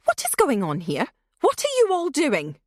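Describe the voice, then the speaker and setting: A woman voicing a mother who tries to sound calm and soothing over rising panic. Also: sits high, normal speed, no echo, studio quality, pitched above the performer's own voice. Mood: alarmed. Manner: forced soothing, anxious. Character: alarmed mother trying to stay calm.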